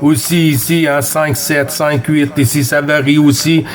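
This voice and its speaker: Québécois man, CB net operator, unhurried and fairly high voice, chatty and courteous. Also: little pitch movement. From Quebec, Canada. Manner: friendly, chatty, courteous, often repeats himself. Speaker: CB radio operator running a contact net. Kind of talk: CB radio contact chat.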